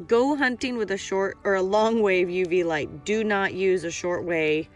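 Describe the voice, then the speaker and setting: A woman in her thirties vlogging casually outdoors, enthusiastic and informal, full of exclamations, recorded on a handheld camera. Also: lowish voice. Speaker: vlogger and rock-hunting host. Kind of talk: casual outdoor vlog chat. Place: outdoors on a rocky shore.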